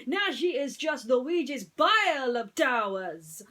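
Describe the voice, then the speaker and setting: A woman's voice: sits high, very animated, slow pace, pushed and bright, voice pushed up, heavily acted. A woman putting on an Italian accent as a flustered, lamenting male car in a bedtime story. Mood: distraught. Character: flustered, wailing Italian male car, tyre shop owner.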